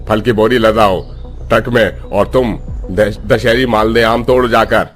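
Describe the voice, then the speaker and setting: Greedy low-voiced orchard boss, acted by a man. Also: fairly even intonation, a little slow, natural voice. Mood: scornful. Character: greedy, bullying male orchard owner. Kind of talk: cartoon villain dialogue.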